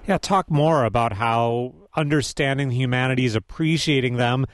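A man hosting a public radio interview show, reading measured, scripted introductions and asking questions. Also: mid-range voice, a little hiss or hum. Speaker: radio host.

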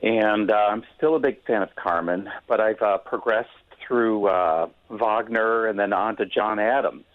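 A man calling into a radio show, speaking in a halting, hesitant way. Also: fairly low pitch. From Wisconsin, US. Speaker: caller. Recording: tinny phone line.

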